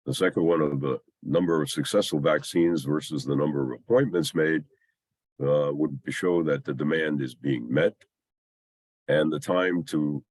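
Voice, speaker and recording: A man with a scratchy, under-the-weather voice gives an explanatory technical slide presentation in a halting, hesitant way with long pauses. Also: extremely low pitch, darkish tone, no echo. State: scratchy voice from a mild illness.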